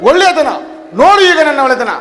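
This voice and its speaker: An actor playing a menacing, imperious usurper villain in a declamatory mythological stage drama. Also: male voice, very high voice, animated, quick pace, pushed and bright, full character voice. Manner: threatening, imperious, theatrical. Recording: reverberant hall with music behind.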